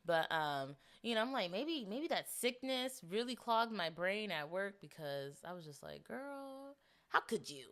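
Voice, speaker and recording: A young woman in her twenties hosting a casual solo podcast, chatting and rambling with animated asides, a little congested. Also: medium pitch, a little slow. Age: 20s. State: slight congestion. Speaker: podcast host.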